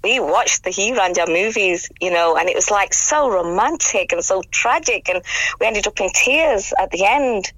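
Chatty, slightly hoarse middle-aged British woman. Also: medium pitch, expressive, moderate pace, fairly bright tone, dry recording, low-quality recording. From Britain. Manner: chatty, enthusiastic, rambling. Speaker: radio guest, amateur actress. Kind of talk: casual radio interview chat.

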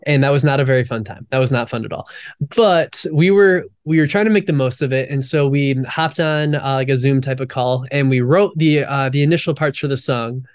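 A young man, a musician guest, telling stories in a relaxed way with fillers and frequent laughs over a video call. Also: mid-range voice, brisk, clean tone. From United States. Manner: relaxed storyteller, laughs often, many fillers. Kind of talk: casual interview chat.

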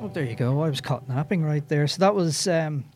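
A man's voice: fairly high pitch, a little fast, darkish tone, no echo. A man with a Dublin Irish accent hosting an evening radio music show, chatting casually with fillers and restarts. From Ireland. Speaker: radio host.

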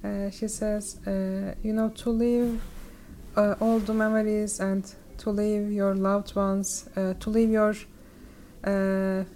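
Flat-voiced Turkish-accented woman interpreter. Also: medium pitch, takes it slowly, a little hiss or hum. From Turkey. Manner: careful, restarts often.

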